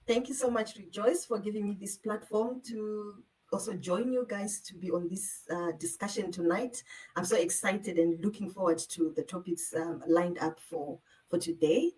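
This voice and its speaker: A woman with a soft-edged, mellow voice at an average pitch speaks as a panel guest. She is giving a personal introduction as a business owner. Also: moderate pace, rough recording.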